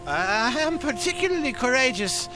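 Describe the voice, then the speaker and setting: An actor playing a suave, sly Spanish businessman in a radio drama, smooth, mock-polite and wry. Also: extremely high pitch, very animated, normal speed, over music. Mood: wry, smug.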